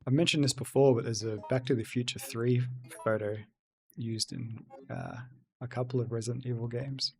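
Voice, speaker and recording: Gravelly-voiced male game streamer. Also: medium pitch, fairly even intonation, normal speed. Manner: chatty, reads and answers chat aloud. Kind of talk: casual let's-play commentary.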